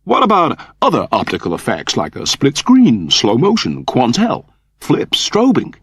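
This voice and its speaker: Prim, excitable newly human android. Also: male voice, medium pitch, a little slow, husky, no room sound, clean studio sound, voice pushed up, full character voice. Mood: earnest, puzzled. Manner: prim, wordy, over-polite. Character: eager, fussy android newly turned human, male, naively earnest.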